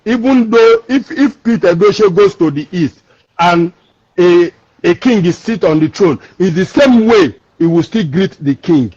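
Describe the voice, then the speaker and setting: A Nigerian man from Lagos calls in and explains emphatically, circling back over his words. His voice sits high and husky. The line is dull and lo-fi, and the sound is dry. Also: expressive, a little slow, darkish tone, no echo. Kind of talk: call-in discussion.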